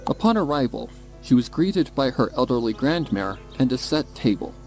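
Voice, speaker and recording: A man's voice: medium pitch, big pitch swings, normal speed, darkish tone. A man reading a scripted story as a dramatic narrator. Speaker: narrator of a fan fiction reading. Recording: music behind the voice.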